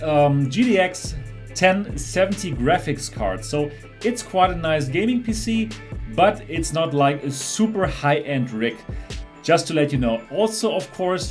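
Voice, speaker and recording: A man from Germany with a German accent, chatting through a gameplay test as a VR reviewer. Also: a little high. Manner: chatty, explanatory, enthusiastic about cars. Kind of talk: casual gameplay commentary.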